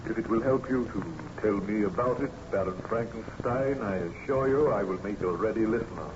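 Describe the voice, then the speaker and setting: A man playing a steady, courteous ship's captain with measured, formal diction in an old-time radio drama. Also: lowish voice, natural voice. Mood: concerned, courteous. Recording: echoey, dull, noisy old radio recording.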